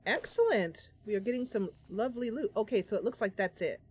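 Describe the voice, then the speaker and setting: A woman's voice: medium pitch, animated, fairly quick, a little mellow, slight background noise. A woman giving casual, chatty game commentary, thinking aloud in a playful way. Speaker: gameplay host and role-player. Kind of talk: casual game commentary with voiced role-play. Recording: game music behind. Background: game music.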